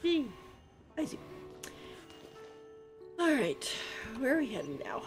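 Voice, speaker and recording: A woman game streamer giving casual, halting, musing commentary on a livestream. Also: high voice, sing-song, over music.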